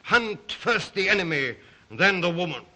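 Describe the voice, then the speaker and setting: An actor playing a suave, predatory count with courtly, condescending manners, in old melodrama style. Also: male voice, high voice, sing-song, unhurried, bright, forceful tone, exaggerated voice. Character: suave, predatory foreign aristocrat who hunts humans, man. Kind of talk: old film-era melodrama acting.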